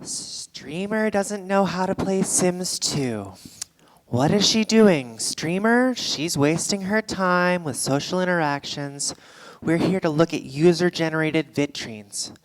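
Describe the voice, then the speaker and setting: An actress playing an irritable, foul-mouthed, sardonic game streamer in a live staged reading. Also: female voice, on the low side, animated, takes it slowly, a little hiss or hum. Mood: exasperated. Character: irritable, foul-mouthed woman video-game livestreamer addressing chat. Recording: echoey theatre hall, live stage.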